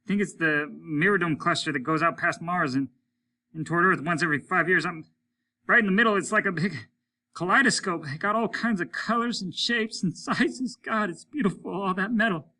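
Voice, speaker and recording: Man voicing an awestruck astronaut, high and bright. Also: normal speed, heavily acted. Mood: awed, excited. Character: awestruck male astronaut drifting away in space. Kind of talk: dramatic audiobook character reading.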